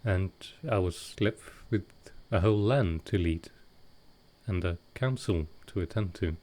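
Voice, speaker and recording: A gentle vampire lord of an intimate audio roleplay, acted by a man. His voice sits very low and he speaks very slowly. He is tender and hesitant, a soft-hearted host who carries grief and offers comfort. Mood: sorrowful, reflective.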